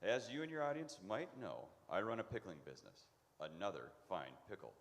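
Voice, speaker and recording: A man's voice: fairly low pitch, huge pitch swings, a little fast. A man playing a proud, long-winded small-town pickle maker in a live radio comedy. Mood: boastful. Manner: long-winded, self-important. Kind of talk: live old-time radio comedy acting. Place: large church hall, live stage show. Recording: noisy, reverberant hall recording.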